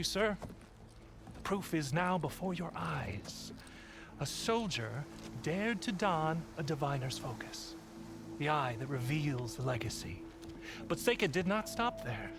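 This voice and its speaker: Pompous officer, swooping pitch. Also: male voice. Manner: pompous, formal, accusatory. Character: officious, scornful male compliance officer, a rigid stickler. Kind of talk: video game voice acting. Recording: loud music under the voice.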